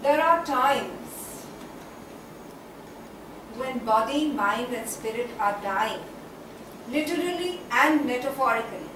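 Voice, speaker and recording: Casting: actress playing soul-baring Indian woman in stage soliloquy, high voice, slow declamatory delivery, heavy room echo. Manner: declamatory, deliberate, with dramatic pauses and repetitions. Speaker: stage actor. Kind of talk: theatrical dramatic monologue. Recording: very echoey stage, distant mic, faint noise.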